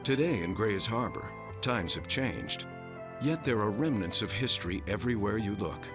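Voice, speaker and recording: A man's voice: fairly low pitch, lively intonation, moderate pace, over music. A man narrating a documentary from a script.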